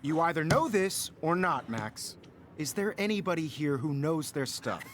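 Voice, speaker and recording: A man's voice: fairly high pitch, expressive, normal speed, background noise, close to an everyday voice. A man playing a confident, teasing photography teacher in a video game, lecturing in an articulate, wry way. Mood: firm, encouraging.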